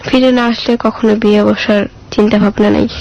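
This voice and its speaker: Creaky-voiced Bangladeshi woman, plaintive. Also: mid-range voice, flat delivery, moderate pace, darkish tone, lo-fi sound, slight background noise. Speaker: interview guest. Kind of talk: personal life story told in an interview.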